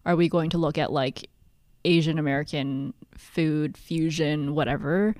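A woman's voice: low-pitched, steady tone, unhurried, a little mellow, no echo, studio quality. A young woman from Hong Kong co-hosting a podcast, chatting casually and telling stories with lots of "like". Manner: chatty, heavy use of "like", storytelling. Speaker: podcast co-host.

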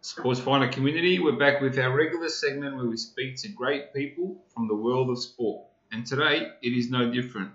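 A man's voice: medium pitch, a little fast, few pauses, a bit bright, reverberant, lo-fi sound. A man hosting a podcast interview casually, with short reactions and stumbling repeats. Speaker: podcast host.

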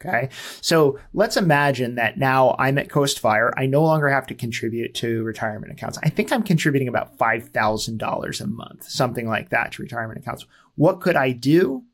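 A man's voice: medium pitch, a little fast, hardly any pauses. Forties man explaining in casual talk. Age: 40s. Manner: conversational, self-deprecating, frequent fillers and restarts. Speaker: podcast host.

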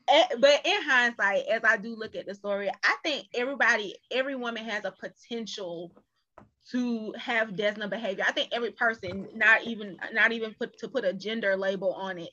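Bright, forceful woman on dull audio. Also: fairly high pitch, moderate pace. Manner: opinionated, emphatic. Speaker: voice actor cast member. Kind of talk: casual discussion.

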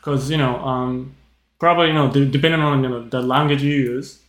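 A man from Japan, hosting a casual chat podcast in English and speaking haltingly, with many fillers. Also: medium pitch, fairly even intonation. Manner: halting, leans heavily on "you know". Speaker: podcast host. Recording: roomy echo.

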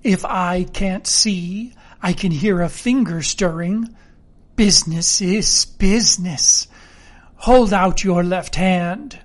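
A woman's voice: fairly low pitch, takes it slowly. Woman voicing a sinister, wheedling old blind beggar man. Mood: cruel, commanding. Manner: odd sing-song wheedling that turns to sneering, curt commands.

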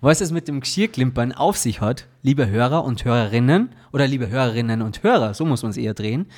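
A man's voice: mid-range voice, expressive, brisk, darkish tone. A man with an Austrian accent and a stuffy nose from a cold, hosting a podcast in cheerful, chatty talk and laughing often. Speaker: podcast host. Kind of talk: casual chat.

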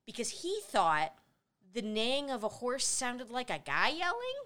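A woman's voice: fairly high pitch, huge pitch swings, measured pace, sharp tone. A woman co-hosting a podcast, recapping an old film in casual chat, laughing often and acting out characters' lines. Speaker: podcast co-host.

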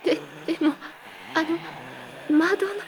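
A woman's voice: very high voice, steady tone, unhurried, a little hiss or hum. A woman playing a timid, frightened student in an audio drama, hesitant, stammering and pleading. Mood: anxious, pleading. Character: timid, frightened female student interrupting her teacher.

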